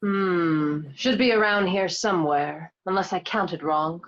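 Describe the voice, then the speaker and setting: A woman's voice: lowish voice, unhurried, highs cut off, lightly acted. A woman voicing a confident, scheming female cat burglar in an amateur radio play. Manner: cool, self-assured.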